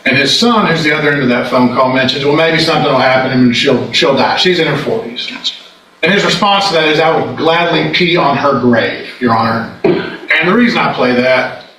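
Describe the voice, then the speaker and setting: A man's voice: fairly high pitch, moderate pace, sharp tone. A man arguing as a prosecutor in court, speaking in an emphatic, condemning manner. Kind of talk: courtroom closing argument. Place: indoor courtroom. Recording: echoey courtroom, distant mic, background noise.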